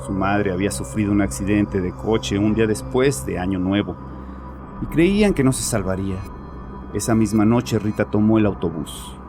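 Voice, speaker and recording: Low-voiced haunted father confessing , acted by a man. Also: close to an everyday voice. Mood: bitter, defensive. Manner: agitated, defensive, haunted confession with halting pauses. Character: tormented, guilt-ridden father confessing to a psychiatrist. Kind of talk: dramatized horror audio story. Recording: music behind the voice.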